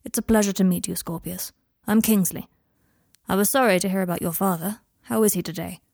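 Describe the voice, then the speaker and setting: A woman voicing a grave, courteous male wizard minister in an audiobook, measured and formal. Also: medium pitch, fairly even intonation, vocal fry, darkish tone, no echo, studio quality. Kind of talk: audiobook character voice.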